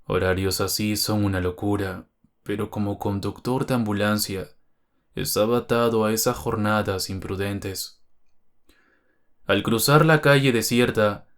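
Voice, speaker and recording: A man's voice: on the low side, fairly even intonation, moderate pace. A man reading a scripted first-person horror story as its narrator.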